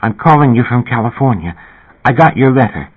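A man reading an audiobook and voicing a shy, breathless young woman singer who talks in a rushing, excited way. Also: mid-range voice, breathy edge, voice pushed up. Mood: eager, breathless. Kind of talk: audiobook character voice. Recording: tinny phone-like audio.

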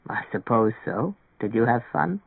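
A calm grown man in an audiobook, as a narrator acts him out, dropped to a low, unhurried register. He is matter-of-fact and gently reassuring, heard close and dry through a narrow, radio-like band. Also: vocal fry, dry recording. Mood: polite, reserved. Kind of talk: audiobook character dialogue.

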